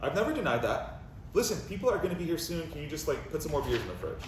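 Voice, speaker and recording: A man's voice: a little high, big pitch swings, quick pace. A man playing an eager, apologetic boyfriend in a comic play reading, with an earnest, conciliatory manner. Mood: placating. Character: Roger, eager, apologetic, placating live-in boyfriend. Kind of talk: comic play table read. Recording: distant, echoey, noisy room recording.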